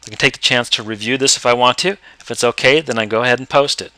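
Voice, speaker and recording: A man explaining step by step how to use accounting software in a screen-recorded tutorial. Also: medium pitch, fast, dry recording. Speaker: software trainer.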